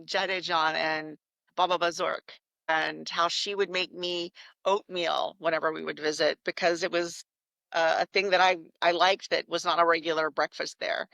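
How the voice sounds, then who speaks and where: A woman talks as an interview guest, her voice bright and forward with a gravelly edge of fry. She tells her stories in a reflective, conversational way. The sound is close and dry, with no room behind her. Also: on the low side, no echo, low-quality recording. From United States. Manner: reflective, warm storytelling with some restarts.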